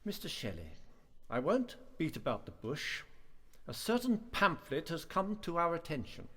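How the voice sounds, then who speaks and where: An actor playing a stern, measured college master in a radio play, speaking formally and with authority. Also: male voice, a little high, huge pitch swings, pushed and bright, breathy edge, full character voice. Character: stern, measured male college master presiding over a hearing. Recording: echoey hall with long reverb.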